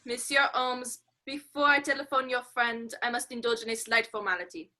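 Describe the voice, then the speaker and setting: A haughty French police inspector in a radio play, acted by a woman. The voice is fairly high with a hard, bright edge, formal and quick to indignation. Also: fairly even intonation.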